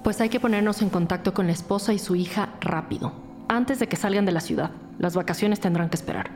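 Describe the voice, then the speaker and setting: A mid-pitched woman detective, played by an actress, takes charge in a businesslike, decisive way. This is scripted crime podcast drama, and music is clearly heard behind her. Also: fairly quick, a little mellow, lightly acted. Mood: determined. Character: female lead police detective, businesslike, decisive and dedicated.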